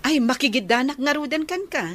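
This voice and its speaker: A woman's voice: high voice, fairly quick, fairly bright tone. A woman playing a friendly, easygoing older sister figure in an Ilocano radio drama.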